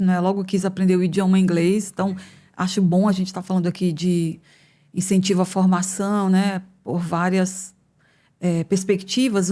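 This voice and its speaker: A woman in her fifties from northern Brazil speaks as a podcast guest, thoughtful and unhurried, with a middle-pitched voice. She gathers her ideas aloud, pausing and adding small tag questions as she explains her path into academia. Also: dark tone. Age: 50s. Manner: thoughtful, measured, frequent hesitations and tag questions. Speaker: economics professor, podcast guest. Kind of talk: conversational interview answers. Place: podcast studio.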